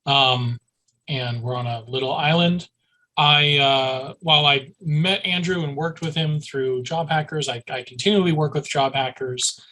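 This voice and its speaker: A man's voice: fairly high pitch, a little slow, pushed and bright, rough recording. A man introducing himself on a video call, dry and wry. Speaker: meetup participant.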